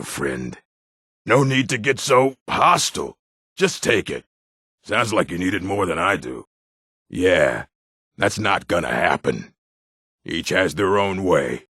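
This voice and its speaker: A burly, surly video game orc, speaks bluntly and with menace. The voice is extremely deep and husky, full of gravel, in a dry close recording. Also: male voice, a bit bright, dry recording, full character voice. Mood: sly. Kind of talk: scripted video game dialogue.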